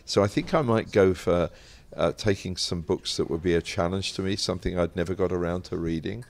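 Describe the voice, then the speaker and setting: An older man with a British accent and a very low, gravelly voice. He talks as a guest in an interview, telling stories conversationally, often pausing to rephrase and wander into asides. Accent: British English. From Britain. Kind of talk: conversational interview, anecdotal storytelling.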